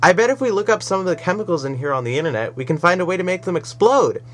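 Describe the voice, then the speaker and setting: A man voicing a teasing, bratty ten-year-old girl who whines loudly. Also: sits high, expressive, fast talker, voice pushed up. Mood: excited teasing. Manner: whiny, teasing and loud. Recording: soft music behind.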